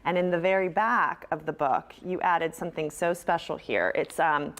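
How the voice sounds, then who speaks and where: Encouraging lowish-voiced woman interviewer. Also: moderate pace, fairly bright tone. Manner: encouraging, affirming. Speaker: TV morning show host, interviewer. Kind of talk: conversational broadcast interview. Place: TV morning show interview.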